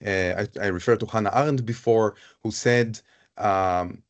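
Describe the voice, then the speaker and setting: Low-voiced thoughtful man moderating a talk. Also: moderate pace. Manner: thoughtful, searching, repeats phrases. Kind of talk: live panel conversation.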